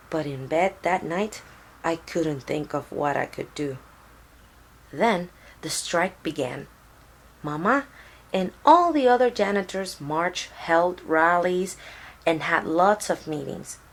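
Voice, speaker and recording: Husky Puerto Rican woman reading to children. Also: lowish voice, lively intonation, slow, rough recording. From Puerto Rico. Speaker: storyteller reading aloud to children. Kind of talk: children's picture-book read-aloud.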